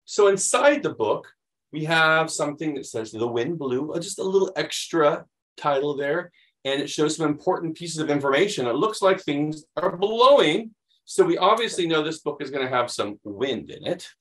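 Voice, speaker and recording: A middle-aged American man reads a picture book aloud to young children, animated and expressive, adding chatty explanations. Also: a little high, moderate pace, poor audio. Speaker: children's read-aloud host.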